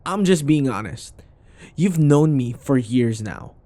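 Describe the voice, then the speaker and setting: A man's voice: mid-range voice, lively intonation, slow pace, dark tone, dry recording. A man playing a sarcastic, irritable office rival who taunts and scoffs, in a scripted boyfriend audio roleplay. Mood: exasperated. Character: snarky, irritable male office rival, teasing and combative.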